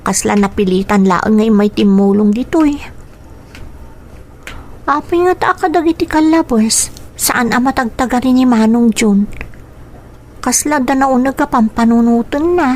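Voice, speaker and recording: A woman portrays a sorrowful young daughter in a radio drama. Her voice is high and earnest, with a plaintive, dutiful way of speaking, as a hardworking elder sister. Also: moderate pace, long pauses, darkish tone, a little hiss or hum, lightly acted. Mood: worried. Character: dutiful, sorrowful young daughter, eldest-sister type, in poor farm family. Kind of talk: Ilocano radio drama acting with inner monologue.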